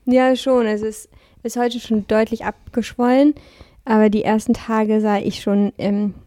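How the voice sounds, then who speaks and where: A woman's voice: on the high side, fairly even intonation, measured pace, dark tone, dry recording, studio quality. A young woman co-hosting a podcast in casual chat, talking a little thickly after having her wisdom teeth out and laughing often. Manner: chatty, laughs often, self-deprecating. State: slightly swollen cheeks after wisdom tooth removal. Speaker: podcast co-host.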